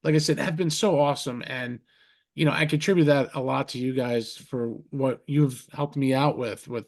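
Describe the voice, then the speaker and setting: A man hosting a podcast over a video call, rambling warmly, restarting his sentences and heaping compliments on his guests. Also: mid-range voice, steady tone, normal speed. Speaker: podcast host. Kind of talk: casual interview chat.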